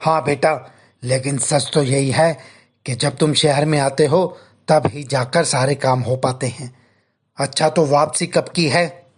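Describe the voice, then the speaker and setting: A man's voice: medium pitch, fairly even intonation, a little slow, husky. A man voicing an appreciative older village headman in a story.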